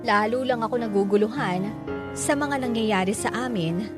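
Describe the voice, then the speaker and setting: A woman's voice: on the high side, normal speed, natural voice. A woman playing a wounded, resentful grown child, narrating reflectively in the first person for a Filipino radio drama. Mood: bitter, brooding. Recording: loud music under the narration.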